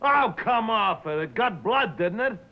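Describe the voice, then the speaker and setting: An actor playing a brash, cynical American wheeler-dealer in a 1950s film drama, with sarcastic, slangy, punchy delivery. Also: male voice, sits high, animated, unhurried. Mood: mocking. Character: brash, cynical, mocking male broadcast-business wheeler-dealer. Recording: muffled old film soundtrack.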